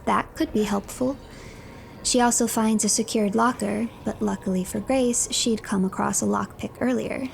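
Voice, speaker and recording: A woman narrating a video game as a story in a scripted third-person style, storyteller-like, often dropping to a hush at the ends of phrases. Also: medium pitch, steady tone, normal speed, creaky, noisy background. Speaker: streamer narrating game playthrough.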